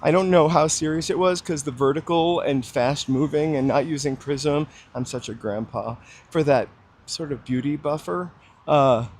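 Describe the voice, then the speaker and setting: A man's voice: a little high, moderate pace, darkish tone, a little hiss or hum. A man chatting casually to live viewers while walking outdoors on a city street, rambling with lots of fillers, his voice a little froggy with a slight dry cough. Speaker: livestream walking host.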